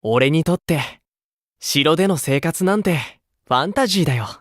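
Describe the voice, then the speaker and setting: An actor playing a gentle, playful grown man, a caring older teammate in an idol game, with a coaxing manner. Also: on the high side, expressive, moderate pace, dry recording, studio quality, close to an everyday voice. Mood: wistful, amiable. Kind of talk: Japanese mobile game voice acting.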